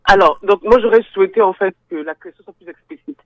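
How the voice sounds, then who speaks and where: A woman guest on a radio talk show, speaking haltingly with repeated words, then arguing her point firmly. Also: medium pitch, no room sound, dull audio.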